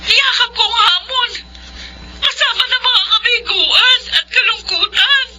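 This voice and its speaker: A Filipino actress playing a self-sacrificing eldest sister in a radio drama, speaking with emotional, pleading emphasis. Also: female voice, very high voice, unhurried, rough recording. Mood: tearful resolve. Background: dramatic music.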